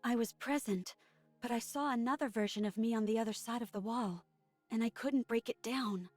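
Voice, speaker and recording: A woman's voice: a little high, normal speed, a little mellow, no room sound, lightly acted. A woman voicing a subdued, earnest, remorseful female android soldier in a dramatic video game scene. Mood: remorseful, haunted. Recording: music behind the voice.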